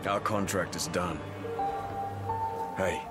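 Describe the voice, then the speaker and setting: A man's voice: sits low, steady tone, slow pace, over loud music. A man playing a brooding, hard-bitten wasteland hero in a video game, talking in terse, clipped phrases. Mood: cold, threatening.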